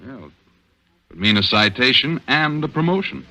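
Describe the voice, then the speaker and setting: An actor playing an earnest, worried police detective in 1940s radio drama style, brooding and terse. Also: male voice, medium pitch, lively intonation, normal speed, sharp tone. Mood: discouraged. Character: earnest, worried male police detective confiding in his sweetheart. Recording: dull vintage radio recording.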